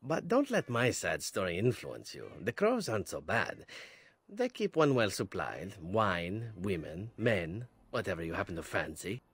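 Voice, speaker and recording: A roguish male elven assassin from a fantasy game, low-voiced. His lines swing melodically, suave and wry, in a close, dry recording. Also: wildly swooping pitch, measured pace, husky, dry recording, heavily acted. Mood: wry, candid. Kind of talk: video game character dialogue.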